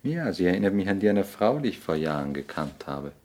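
An actor playing a witty, cynical, aristocratic lord in a 1960s radio play, with an urbane, languid way of talking. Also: male voice, low-pitched, sing-song, fast, darkish tone. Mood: musing.

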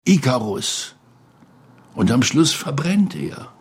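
A man's voice: mid-range voice, very animated, takes it slowly, husky. An older man reminiscing spontaneously as an interviewee, with emphatic, dramatic storytelling and repeated phrases. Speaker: interviewee recalling a colleague.

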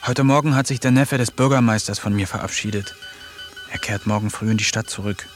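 A man's voice: lowish voice, a little fast, faint music behind, close to an everyday voice. An actor narrating his diary in a radio drama as its lonely protagonist, in a wry, melancholic way.

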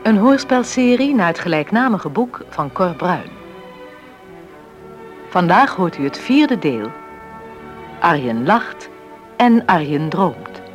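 Slow, animated radio drama announcer. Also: mid-range voice, soft background music. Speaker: series announcer. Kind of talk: scripted radio drama introduction.

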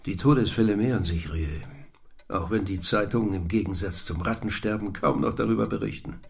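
A man's voice: on the low side, a little fast, creaky. An actor playing a grave man in a radio drama who reports on troubling events.

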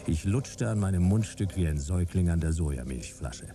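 An actor playing a hard-boiled private detective who narrates a sci-fi radio play in terse, wry, dramatic fragments. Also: male voice, sits low, expressive, normal speed, background noise. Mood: dramatic, tense.

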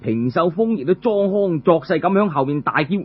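A man's voice: on the high side, animated, moderate pace, husky, narrow, tinny audio. A man with a Cantonese accent narrating a traditional tale as a storyteller.

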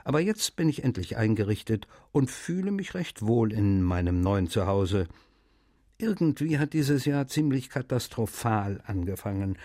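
An actor playing a male writer, reading in a measured, literary way for a radio feature. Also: fairly low pitch, dark tone, no room sound, clean studio sound. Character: French male writer reading his friendly letters aloud.

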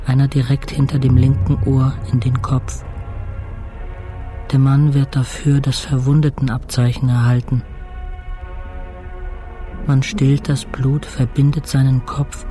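An actor playing a middle-aged intensive-care patient, narrating his ordeal soberly in terse, deliberate phrases for a radio drama. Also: mid-range voice, level tone, mellow, soft background music, close to an everyday voice. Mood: grave, detached.

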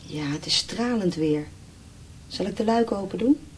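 A woman's voice: medium pitch, lively intonation, normal speed, a little hiss or hum, natural voice. An actress playing a tender, protective young woman caring for a sick beloved, soothing and intimate, in a radio drama. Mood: gently encouraging. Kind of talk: Dutch radio drama acting.

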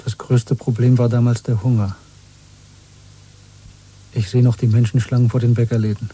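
An actor playing a weary, bitter, quietly defiant dissident in a radio drama, husky and intimate, speaking slowly and broodingly. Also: male voice, medium pitch, natural voice, low-quality recording. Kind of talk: 1970s German radio play acting.

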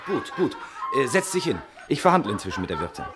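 An actor playing a practical, jaunty young military doctor, brisk and teasing, in an old radio play. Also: male voice, mid-range voice, sing-song, lightly acted. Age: young adult. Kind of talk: 1960s radio play acting. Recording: old radio drama, crowded tavern ambience.

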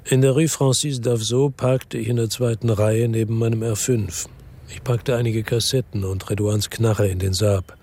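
An actor playing a fatalistic, resolute hard-boiled man who narrates his revenge tersely in a radio drama, with a gravelly voice. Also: lowish voice, fairly even intonation, normal speed, mellow. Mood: brooding, resolute. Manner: terse, brooding. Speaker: first-person narrator. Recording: music under the voice.

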